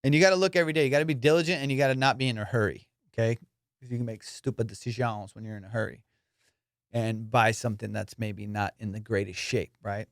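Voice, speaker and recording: Man, comedian, podcast host, casual profane monologue, boastful, mid-pitched voice, dry close sound. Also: fast talker, no room sound. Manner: profane, boastful storytelling with excited bursts.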